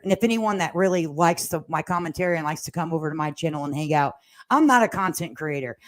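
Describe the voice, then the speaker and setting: An older woman chatting casually as a livestream host, with a folksy, sassy, plain-spoken and slangy way of talking. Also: fairly low pitch. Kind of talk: casual livestream commentary chat, reading viewer comments aloud. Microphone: standalone microphone at a laptop.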